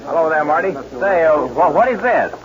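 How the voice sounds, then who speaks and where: An actor playing an easygoing, puzzled American rancher with folksy phrasing in a 1940s radio western. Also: male voice, fairly high pitch, animated, fairly quick, crowd noise, natural voice. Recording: noisy, muffled 1940s radio recording.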